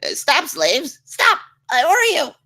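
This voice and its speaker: A woman's voice: high-pitched, huge pitch swings, a little slow, pushed and bright, exaggerated voice. A woman playing a vengeful, contemptuous villain bug in a comedy table read, with a theatrical, commanding manner. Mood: panicked commanding. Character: vengeful, sneering villain bug bent on revenge.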